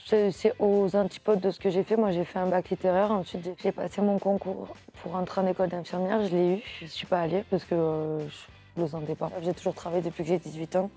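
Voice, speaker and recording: Low, flat-voiced young woman. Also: a little fast, faint music behind. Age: young adult. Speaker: interviewee. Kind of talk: spontaneous personal account.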